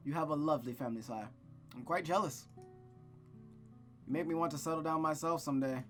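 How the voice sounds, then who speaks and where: A performer playing a courteous, melancholy nobleman from a visual novel, speaking gently and formally. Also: medium pitch, brisk, faint music behind, close to an everyday voice. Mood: wistful, courteous.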